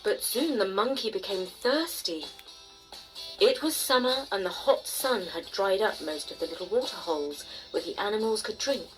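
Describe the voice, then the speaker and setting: A woman storyteller narrating a bedtime tale expressively, with dramatic pauses and emphasis. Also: medium pitch, animated, music in the background, rough recording. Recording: faint noise behind the voice.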